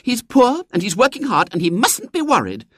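A man doing a bossy, high-voiced little girl. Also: huge pitch swings, normal speed, fairly bright tone, breathy edge, no echo, studio quality, heavily acted. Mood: plaintive. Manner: commanding, high and breathless.

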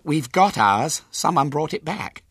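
A man voicing an aggrieved, anxious, excitable young schoolboy in a storybook reading, indignant and emphatic. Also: on the high side, measured pace, breathy edge, dry recording, clean studio sound, voice pushed up, exaggerated voice.

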